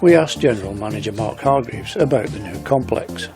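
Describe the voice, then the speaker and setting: A man's voice: lowish voice, lively intonation, brisk, music in the background. A man reading a scripted news narration for a newsreel.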